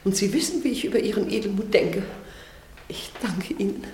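A woman's voice: mid-range voice, fairly quick, a little mellow, natural voice. A woman acting in a German radio play as a dignified, emotional lady prisoner, speaking theatrically and effusively. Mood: grateful, moved. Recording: echoey, slightly noisy room.

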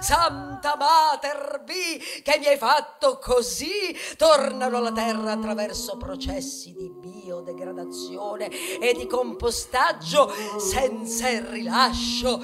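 A melodramatic, self-important plastic bag laments and boasts in a high voice, played by a woman. The delivery is very slow and theatrical, stretching pauses and sinking into whispers. Music plays underneath the monologue. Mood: proud, devout. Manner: theatrical, grandiose, with dramatic pauses and swings into whispers. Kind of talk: theatrical monologue in a stage ballad.

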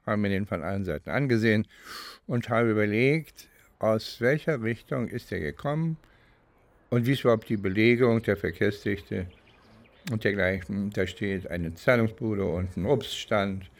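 An older man reminiscing without a script, speaking deliberately and often searching for his words. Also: fairly low pitch. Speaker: interviewee recounting criminal cases. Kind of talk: unscripted reminiscing in a documentary.

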